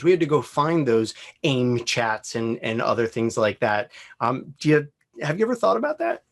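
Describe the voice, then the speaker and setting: A man co-hosting a webcast panel, asking the guest a curious, rambling question. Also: medium pitch. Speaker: co-host. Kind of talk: casual panel chat.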